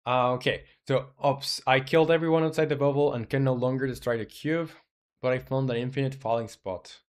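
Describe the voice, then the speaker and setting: A young man in his twenties talks casually on a live stream while building a game. His voice sits in a middle pitch, and he rambles along, thinking aloud with plenty of ums and uhs as he reads and answers chat. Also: steady tone, fairly quick, clean tone. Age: 20s.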